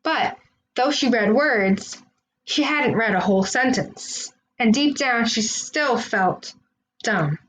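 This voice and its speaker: A woman reading a children's storybook aloud, with expressive, deliberate phrasing. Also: on the high side, a little hiss or hum, rough recording. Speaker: storybook reader.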